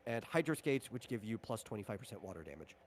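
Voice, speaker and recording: A man's voice: lowish voice, sing-song, quick pace, a little hiss or hum. A man acting as game master, talking through the rules and equipment of a tabletop game in an unscripted way.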